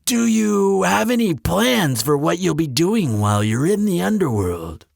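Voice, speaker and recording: A man's voice: high-pitched, very animated, measured pace, gravelly, no echo, clean studio sound, full character voice. A man voicing a courteous, eager demon hotel receptionist in an audio roleplay, speaking in polished, salesmanlike customer-service patter. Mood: cheerful, informative. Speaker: hotel concierge character. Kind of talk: scripted audio roleplay addressed to the listener.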